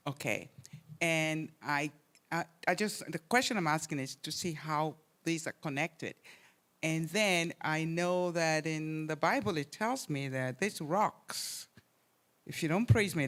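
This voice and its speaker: Deep-voiced, halting audience questioner. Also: a bit bright. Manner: halting and searching, repeats words. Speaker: audience member asking a question. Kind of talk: question from the floor at a conference.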